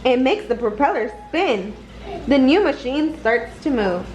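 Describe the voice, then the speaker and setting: A woman reading a children's picture book aloud in a deliberate, expressive storytelling manner. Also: high-pitched, a little hiss or hum, poor audio. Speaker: storybook reader.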